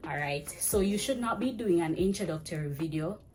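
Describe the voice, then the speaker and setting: Fast-talking woman, lowish voice. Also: music in the background. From Jamaica. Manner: chatty, fast-talking, animated with hand gestures. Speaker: vlogger and ESL teacher. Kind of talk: casual advice vlog. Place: indoors, small room with plain wall. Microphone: camera framing head to chest.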